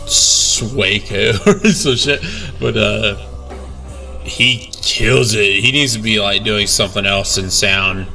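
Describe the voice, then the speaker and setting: Young guy w a low gravelly voice, bright edge, slow rambly talk, kinda far from the mic. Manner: laid-back, rambling, frequent fillers and swearing. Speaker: bass player reacting to his band's video. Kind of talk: casual reaction vlog.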